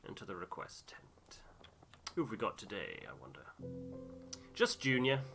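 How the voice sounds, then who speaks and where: A man's voice: fairly low pitch, big pitch swings, moderate pace, vocal fry, fairly bright tone, faint music behind. A man giving casual game commentary as he plays, muttering half to himself. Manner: muttering to himself while playing. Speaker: gamer, playthrough host.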